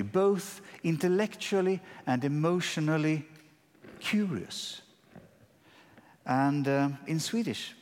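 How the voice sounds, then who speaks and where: Man giving a grand hall introduction. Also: a little high. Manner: grand, rhetorical. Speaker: event presenter. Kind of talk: formal introduction speech. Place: large concert hall. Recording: long hall reverb.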